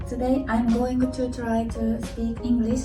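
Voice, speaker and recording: A woman with a Japanese accent speaks slowly in simple learner English, fairly high in pitch, as an interviewer. Her phrasing is halting and careful. The recording is roomy and distant, with music behind her. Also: little pitch movement. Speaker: interviewer, language student.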